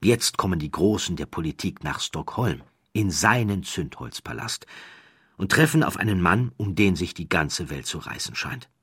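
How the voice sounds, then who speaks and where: Deep-voiced man, dramatic narrator. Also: lively intonation, a bit bright, breathy edge, no echo, clean studio sound. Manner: measured, dramatic storytelling. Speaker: audiobook narrator. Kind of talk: scripted narration of a historical true story.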